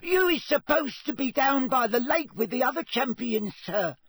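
A man voicing a small, servile house elf in an audiobook, squeaky, urgent and earnest, speaking deferentially of himself in the third person. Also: animated, normal speed, fairly bright tone, slightly rough, no echo, exaggerated voice. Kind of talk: audiobook character voice.

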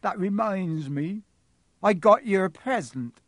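A man voicing a huge, bearded, soft-hearted man in a storybook, with a booming, tearful voice and blunt rustic speech. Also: expressive, slow, no room sound, exaggerated voice. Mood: recovering, fond.

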